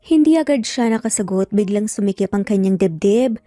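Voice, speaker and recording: A woman's voice: medium pitch, animated, normal speed, mellow, studio quality. A woman with a Filipino accent narrating a scripted romance story in a dramatic storytelling style. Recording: roomy echo, faint music behind. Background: faint background music.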